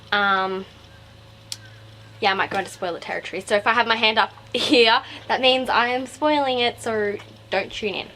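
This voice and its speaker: Young Australian woman, slightly high voice, rambling casual book vlogger; home recording with faint noise. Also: fairly bright tone, rough recording. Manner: rambling, digressive, self-interrupting. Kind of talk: casual, chatty book review to camera.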